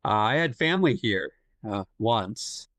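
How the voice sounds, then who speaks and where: A man's voice: on the low side, animated, fairly bright tone, no room sound. Man playing a hesitant, shy writer, dry sound. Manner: hesitant, halting, apologetic. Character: shy, awkward male writer from the South. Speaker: actor in an audio play. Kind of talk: naturalistic audio drama dialogue.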